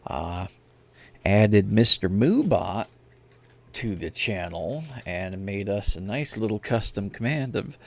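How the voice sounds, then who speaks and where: A man's voice: on the low side, animated, takes it slowly, gravelly. A man casually chatting as a live game streamer, in an easygoing, informal way. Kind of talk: casual livestream chat. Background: music.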